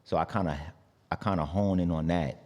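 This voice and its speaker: A man's voice: sits low, a little fast, long reverb tail. A man from New York with a New York accent, a singer guest in a casual interview, talking loosely with many fillers like "like" and "you know". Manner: relaxed, rambling, lots of "like" and "you know".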